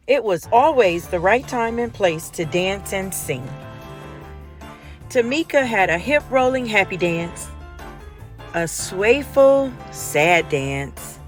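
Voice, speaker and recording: A woman reading a children's picture book aloud in an expressive storytime style. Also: medium pitch. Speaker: storytime reader.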